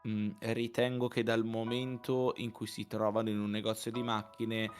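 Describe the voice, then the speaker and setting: A man chatting casually in an animated, theatrically exasperated way as co-host of a live reading. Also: lowish voice, moderate pace, faint music behind. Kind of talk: casual group banter.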